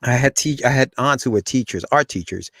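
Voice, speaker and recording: A man in his forties who grew up in Ecuador talks as a guest, rambling through his memories with plenty of restarts and "like"s. His voice sits at an ordinary middle pitch, and the recording is dry and close. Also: a little fast, a bit bright, no room sound. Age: 40s. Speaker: guest, comic creator. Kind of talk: casual interview chat.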